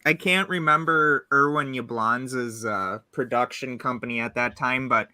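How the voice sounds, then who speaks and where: A man in his twenties hosting a casual podcast chat, animated as he tells stories and shares trivia. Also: medium pitch, unhurried, dry recording, clean studio sound. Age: 20s. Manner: animated storyteller sharing trivia. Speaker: host.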